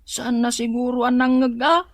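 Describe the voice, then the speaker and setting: A woman's voice: fairly high pitch, fairly even intonation, moderate pace, dry recording, close to an everyday voice. A woman voicing a timid, hungry young child in a comedic radio drama, childlike and plaintive, with an Ilocano accent. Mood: questioning.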